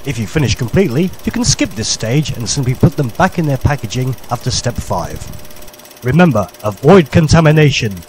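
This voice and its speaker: A man's voice: mid-range voice, big pitch swings, brisk, background noise. A man narrating a parody vintage public information film in a formal, jolly, old-fashioned instructor's manner.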